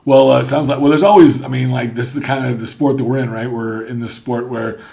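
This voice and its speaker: Male podcast host, mid-pitch gravelly voice, loose rambling interviewer. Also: fairly even intonation, a little fast, warm tone. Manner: rambling questions with restarts and chuckles. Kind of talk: casual interview chat.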